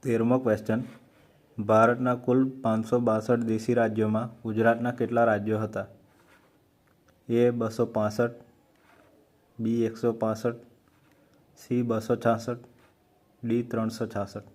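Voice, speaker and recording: A man with a Gujarati accent hosting an exam-prep quiz, reading out questions and options slowly and carefully. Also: mid-range voice, level tone, darkish tone. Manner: slow, measured reading of options. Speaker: quiz host for exam preparation.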